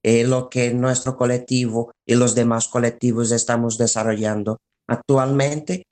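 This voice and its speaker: An interview guest explaining a collective's work in halting phrases with repetitions. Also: mid-range voice, little pitch movement, a little mellow. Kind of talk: spoken radio interview, explaining.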